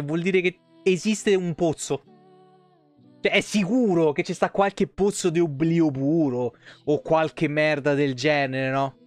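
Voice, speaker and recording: A man in his thirties with a Roman Italian accent and a fairly high voice. He reads fantasy game lore aloud and slips into informal, expressive asides. Music plays softly behind him as he streams. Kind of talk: reading game lore aloud with casual live commentary. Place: indoor room, home streaming setup. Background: background music with guitar.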